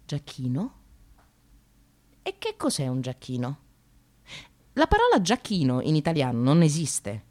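Radio monologue: sardonic female temp worker, slightly low register, very animated intonation. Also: unhurried, pauses often. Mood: scornful. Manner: sardonic, expressive, swearing, addressing the audience. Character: bitter, wry, precariously employed female receptionist, educated.

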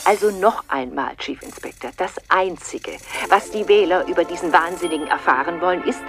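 An actress playing an imperious, hard-line government minister in a radio play, curt and cutting. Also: female voice, on the low side, brisk, lightly acted. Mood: stern, impatient. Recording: music under the voice.

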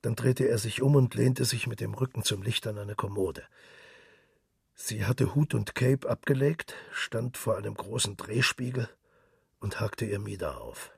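A man with a very low, gravelly voice narrates a literary radio drama. His delivery is hushed and intimate, often near a whisper. The sound is dry and a little away from the microphone. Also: unhurried, dry recording, distant mic.

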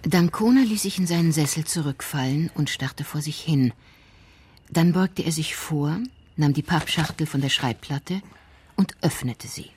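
A radio drama narrator with a medium-pitched voice and faint background noise. The delivery is composed, literary and descriptive, reading scripted crime prose. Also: unhurried.

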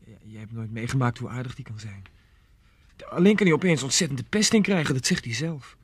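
An actor in a radio play playing a young fiancé, wavering, subdued and easily led. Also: male voice, mid-range voice, fairly quick, breathy edge, no echo, natural voice. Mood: boyish recounting. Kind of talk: Dutch radio play acting.

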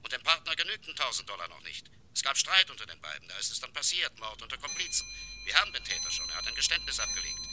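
A man's voice: medium pitch, sing-song, quick pace, noisy background, natural voice. A man acting an authoritative state prosecutor in a radio crime drama, incisive, official and insistent. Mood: assured, pressing.

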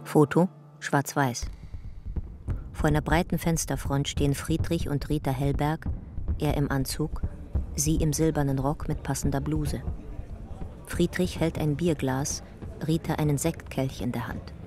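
An actress narrating in a radio drama, reading out archive descriptions in a detached, scripted, documentary-like way. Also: female voice, low-pitched, fairly even intonation, measured pace. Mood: matter-of-fact. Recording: music behind the voice.

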